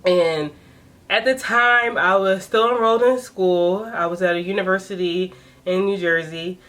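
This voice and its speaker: A woman in her late twenties telling a personal story in a casual vlog, chatty and animated with frequent laughs. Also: fairly low pitch, normal speed. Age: 20s. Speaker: vlogger.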